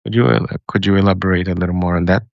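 A man calling in for advice, speaking casually in a hesitant, halting, deferential way. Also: low voice, fairly even intonation, vocal fry, dry recording, muffled recording. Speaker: caller seeking advice. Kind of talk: casual conversation.

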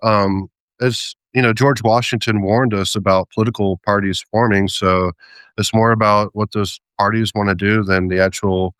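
A man in a casual conversation who speaks hesitantly, with many pauses, fillers and small laughs. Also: lowish voice, steady tone, fairly bright tone, clear, resonant tone, no room sound. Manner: hesitant, many ums and restarts, light laughs.

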